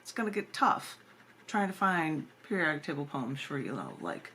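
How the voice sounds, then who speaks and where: Woman, lowish voice, informal poetry-reading host, rambling playful asides, noisy amateur camera audio. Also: big pitch swings, moderate pace, fairly bright tone. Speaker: poet and editor reading own work.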